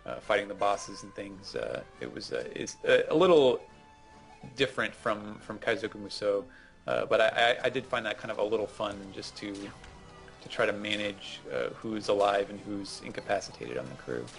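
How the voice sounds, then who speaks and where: A man's voice: fairly low pitch, expressive, moderate pace, creaky, faint music behind. A man giving casual, rambling explanations as a co-host commenting on gameplay. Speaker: gaming show co-host.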